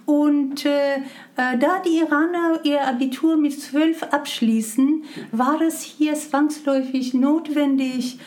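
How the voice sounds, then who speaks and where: Middle-aged Iranian woman with a Persian accent, high voice, halting non-native German, full of fillers. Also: fairly even intonation, a little mellow. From Iran. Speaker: interview guest. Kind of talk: conversational interview.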